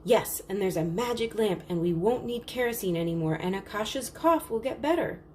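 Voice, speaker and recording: A woman voicing an eager, excitable young girl in a read-aloud storybook, gushing and breathless. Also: medium pitch, moderate pace, a little hiss or hum, natural voice. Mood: breathless, excited.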